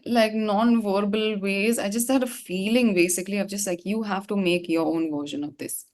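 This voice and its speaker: A woman with an Indian English accent telling a personal story reflectively in an interview, often pausing and repeating words as she searches for the right one. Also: medium pitch, darkish tone. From India (Gangtok, Sikkim). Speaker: singer, interview guest.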